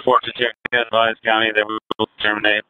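A man's voice: lowish voice, monotone, fast talker, phone-quality sound. A man working as a state patrol officer, giving terse, clipped reports over a police radio. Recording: noisy police scanner radio audio.

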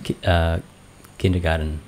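Low deep-voiced Indonesian man hosting. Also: level tone, normal speed, a little mellow, a little hiss or hum. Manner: chatty, laughs often, many fillers and restarts. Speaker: podcast host. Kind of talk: casual interview with his daughter. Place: small home studio lined with bookshelves. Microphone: studio mic on boom arm, wearing headphones.

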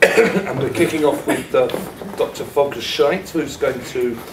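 A man's voice: medium pitch, unhurried. A man chairing a conference session, introducing the next speaker with hesitant fillers, recorded from across a lecture room. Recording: distant echoey room mic with noise.

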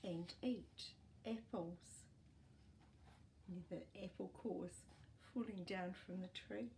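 A middle-aged woman reading a picture book aloud to children as a storytime host, expressive, with chatty asides to the listener. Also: mid-range voice, takes it slowly, a little hiss or hum. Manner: expressive, asks the young listener questions, gentle gasps. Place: small indoor room with books and toys.

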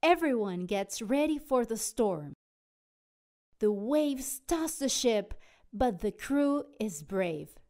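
Animated, unhurried woman reading a storybook. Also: a little high. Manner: expressive, dramatic storytelling. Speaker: storybook narrator. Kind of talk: animated children's read-aloud.

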